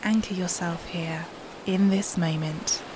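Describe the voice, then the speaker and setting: A woman softly leading a guided meditation in a soothing, unhurried manner, with breathy phrase endings and long pauses. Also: fairly low pitch, steady tone, noisy background.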